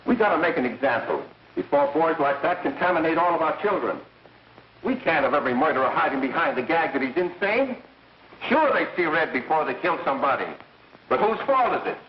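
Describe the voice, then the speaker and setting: Man as firm, dismissive jury foreman, 1930s film acting, slightly high voice, muffled soundtrack. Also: animated, a little fast, roomy sound, slight background noise, natural voice. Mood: firm, insistent. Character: stern, unyielding male jury foreman.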